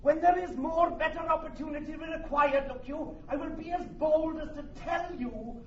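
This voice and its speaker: An actor playing a fussy, argumentative Welsh army captain in a Shakespearean radio drama, with a pedantic, repetitive and emphatic manner. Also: male voice, extremely high pitch, normal speed. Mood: pedantic, insistent. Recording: muffled old radio recording, music behind.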